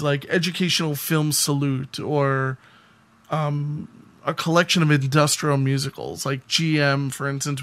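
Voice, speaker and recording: A man's voice: fairly high pitch, fairly bright tone. An American man co-hosting a podcast, talking in a casual, conversational way. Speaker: podcast co-host.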